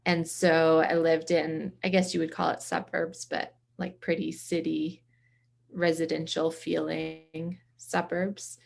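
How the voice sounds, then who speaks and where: A woman from the East Coast talks casually and reflectively as an interview guest. Her voice sits a little low and often drops into gravelly vocal fry. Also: flat delivery, slow. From from the US East Coast, around Boston.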